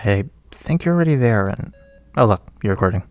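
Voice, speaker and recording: Man acting friendly male friend, audio drama, lively intonation, hesitant, chatty, gently joking, dry recording. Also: on the low side, a little fast, no room sound, natural voice. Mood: friendly, nervous, teasing. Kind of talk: naturalistic audio drama dialogue.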